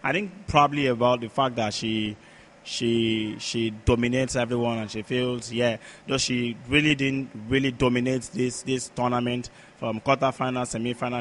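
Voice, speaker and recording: A man giving conversational sports analysis as a podcast pundit, halting and repetitive as he searches for words. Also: mid-range voice, a bit bright, a little hiss or hum.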